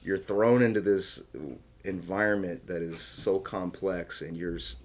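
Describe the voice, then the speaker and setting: A man with an American accent hosts a casual podcast conversation. His voice is low-pitched. He speaks informally, moving between questions to his guest and personal stories of his own. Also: steady tone, unhurried. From small-town rural United States. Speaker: podcast host, interviewer. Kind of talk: casual chat, conversational interviewing.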